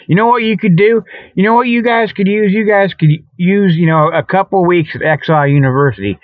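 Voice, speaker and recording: Tinny radio sound, folksy guy with a slightly high voice rambling and joking as he hosts his show. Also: dry recording. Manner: folksy, colloquial speech; jokey, chuckling at own tall tales. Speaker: host of a comedic paranormal talk show. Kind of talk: rambling casual solo monologue.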